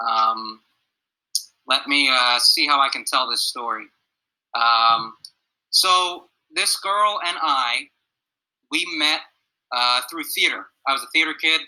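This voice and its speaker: A man's voice: mid-range voice, pushed and bright, low-quality recording. A young man, a middle school teacher, talking earnestly with his students on a video call and telling a personal story. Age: young adult. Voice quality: gruff. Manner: earnest, reflective, asks students questions.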